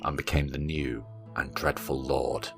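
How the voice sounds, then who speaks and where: A man narrating a dark story with grave, deliberate and theatrical delivery. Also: very deep voice, little pitch movement, vocal fry. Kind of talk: dramatic scripted story narration. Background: instrumental music.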